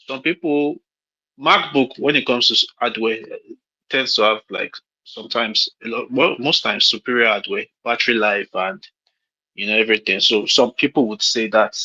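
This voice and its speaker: A man's voice: medium pitch, normal speed, pushed and bright, breathy edge. Frank Nigerian man giving career advice. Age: late 30s. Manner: frank, rambling, repeats himself with restarts. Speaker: mentor hosting a call. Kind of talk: casual advice on a call. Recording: lo-fi call audio.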